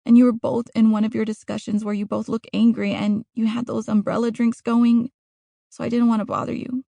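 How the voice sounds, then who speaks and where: A woman's voice: on the high side, little pitch movement, a little fast, gravelly, dark tone, no room sound. A woman voicing a frightened, apologetic girl captive who rambles childishly and justifies herself, in an audiobook story. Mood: anxious, defensive rambling.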